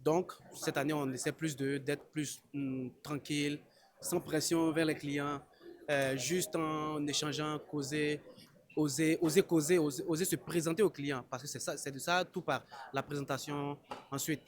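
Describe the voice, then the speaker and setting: A man's voice: a little high, fairly even intonation, moderate pace. A man from Côte d'Ivoire, a fruit exporter, answering interview questions at a trade fair in a hesitant, chatty way with frequent restarts. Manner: hesitant, restarts often, laughs easily. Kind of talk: casual spoken interview. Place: busy trade fair hall.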